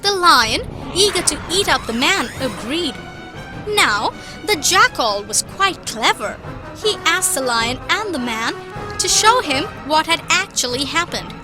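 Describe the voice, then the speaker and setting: A woman voicing a patient cartoon mother who tells children fables in an expressive, instructive way. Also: sits high, measured pace, over music. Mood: storytelling. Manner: expressive, didactic. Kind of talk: children's storytelling.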